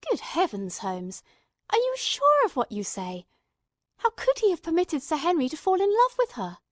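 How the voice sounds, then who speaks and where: A woman's voice: very high voice, wildly swooping pitch, moderate pace, no room sound, clean studio sound, pitched above the performer's own voice, exaggerated voice. A woman voicing an earnest male companion who questions a detective with urgent disbelief, in a dramatised reading. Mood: astonished. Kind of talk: audiobook-style dramatised reading.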